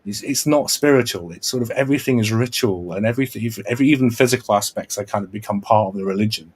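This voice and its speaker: A man's voice: fairly low pitch, fast, husky. A man hosting a casual podcast, explaining at length with restarts and repeated checks of "right?". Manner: earnest, lecturing, many restarts and repeated "right?". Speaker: podcast host.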